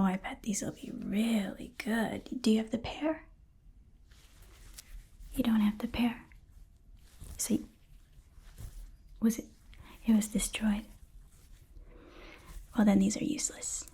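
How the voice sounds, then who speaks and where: A woman whispering softly and breathily in an ASMR roleplay as a playful, fussy appraiser of magical items. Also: a little high, expressive, slow pace, long pauses, slightly rough. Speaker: ASMR performer.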